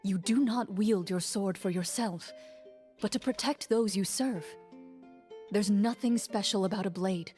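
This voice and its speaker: A woman acts as a noble swordswoman from a fantasy game, calm and firm. Her voice sits at a middling pitch, measured and composed, with music underneath. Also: natural voice. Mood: stern, urging. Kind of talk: scripted fantasy game dialogue.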